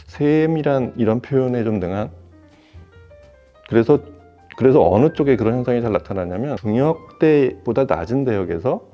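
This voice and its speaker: A man giving a semi-formal, explanatory product review in a measured way, rephrasing as he goes. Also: mid-range voice, warm tone. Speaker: audio equipment reviewer.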